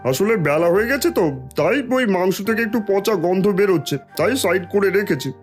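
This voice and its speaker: A man's voice: high-pitched, lively intonation, a little fast, soft background music. A man voicing a shifty market vendor with hesitant openers in a cartoon story. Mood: evasive. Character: shifty market vendor selling cheap rotten goods. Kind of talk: cartoon moral-story voice acting.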